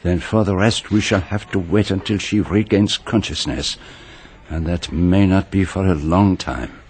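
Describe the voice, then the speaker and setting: An actor playing a courteous, shrewd foreign detective in a radio drama, with a French-speaking accent and formal, precise, measured delivery. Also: male voice, sits low, a little mellow, slightly rough. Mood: grave. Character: courteous, shrewd foreign male detective narrating his case. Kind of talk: radio drama acting and first-person narration.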